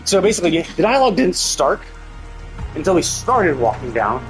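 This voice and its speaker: A man's voice: on the high side, big pitch swings, normal speed, soft background music, poor audio. A man giving casual, blunt commentary as a live game streamer, talking in a sweary, venting way.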